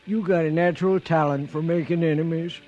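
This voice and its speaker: An actor playing a folksy, loyal cowboy sidekick in a 1950s radio western. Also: male voice, a little high, steady tone, fairly quick, darkish tone, dull audio, soft background music, close to an everyday voice. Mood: wry, puzzled. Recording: old radio recording, faint noise.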